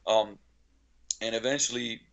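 A man from Slovenia speaks English as a podcast guest, in a low-key lo-fi recording with a slightly dull sound. He talks informally, telling stories in a halting, repeating flow. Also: steady tone. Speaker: podcast guest, strength coach and gym owner. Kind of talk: casual conversational storytelling.

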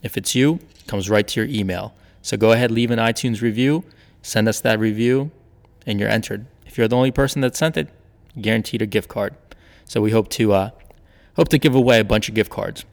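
A podcast host with a low, gravelly man's voice reads promos and announcements in a chatty, upbeat way. His voice carries a long reverb tail, and soft music plays underneath. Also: fairly quick.

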